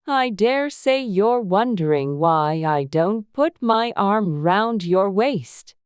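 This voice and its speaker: A woman voicing a pompous, affectionate Duchess who moralizes in a drawn-out, sententious way in a dramatized storybook. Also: medium pitch, lively intonation, clear, resonant tone, no echo, clean studio sound, voice pushed up. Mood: confiding, pompous. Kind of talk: dramatized storybook audiobook acting.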